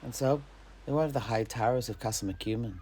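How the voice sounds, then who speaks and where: A man playing an alchemist storyteller, recounting fantasy legends of his homeland in a theatrical way with dramatic pauses. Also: mid-range voice, background noise, natural voice. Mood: dramatic storytelling. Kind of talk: in-character fantasy tale narration.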